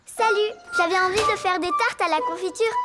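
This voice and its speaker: Children's cartoon lead: scatterbrained, cheery little girl, extremely high voice, excitable delivery, loud music underneath. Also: a little fast. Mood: eager. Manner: excitable, exclaiming. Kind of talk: animated children's cartoon dialogue. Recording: music behind the voice.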